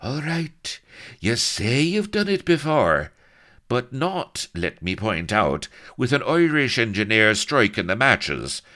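A man voicing an excitable, cynical adventurer colonel who grumbles and protests in colloquial speech, in a comic adventure story. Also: mid-range voice, slow, pitched above the performer's own voice, exaggerated voice. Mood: caustic, nervous.

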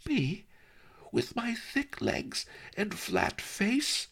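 A man's voice: high-pitched, huge pitch swings, very slow, creaky, fairly bright tone, slightly rough, voice pushed up, exaggerated voice. A man putting on an indignant peasant girl. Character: indignant young peasant woman, outspoken.

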